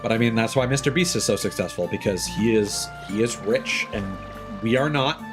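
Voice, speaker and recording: A man's voice: lowish voice, normal speed, over music. A man livestreaming a game and chatting casually, with a rambling, sardonic, opinionated manner. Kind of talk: casual opinionated stream chat.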